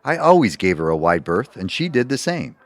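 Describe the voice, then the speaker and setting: Gossipy, animated male clerk acted by a man. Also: fairly low pitch, brisk, dry recording. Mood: wry, gossipy. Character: gossipy, blunt, wry local government clerk. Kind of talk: audio theatre comedy acting.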